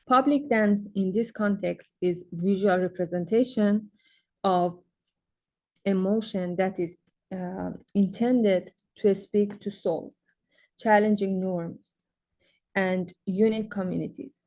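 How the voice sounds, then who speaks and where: A young woman with a Persian accent presents her art at a symposium, speaking slowly in a mellow, dark tone. She reads from prepared text but often stumbles into fillers and restarts. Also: medium pitch, steady tone. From Iran. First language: Farsi. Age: young adult. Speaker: graduate student artist presenting.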